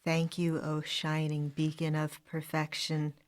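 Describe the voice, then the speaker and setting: Zombie thrall played by a woman, low-pitched, dragging delivery, fawning and obedient, audio drama. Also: monotone, a little slow. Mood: servile. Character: mind-controlled teenage zombie thrall, fawning, blankly servile.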